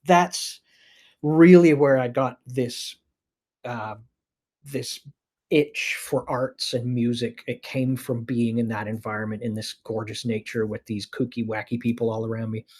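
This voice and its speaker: Casting: middle-aged Canadian guy, interview guest, halting and wry, mid-range voice. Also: mid-range voice, fairly even intonation, darkish tone. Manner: hesitant, many restarts, wry. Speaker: animation producer, guest. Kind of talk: casual interview storytelling.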